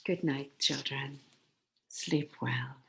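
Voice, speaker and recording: Slow-paced woman reading a children's story. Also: a bass voice, creaky, fairly bright tone, low-quality recording.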